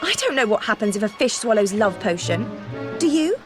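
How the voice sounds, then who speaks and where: Woman acting a prim, lively British teen witch. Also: medium pitch, a little fast, over music, natural voice. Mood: scolding, concerned. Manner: prim, scolding. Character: bossy, sensible teenage girl witch, bookish and prim. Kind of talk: video game cutscene acting.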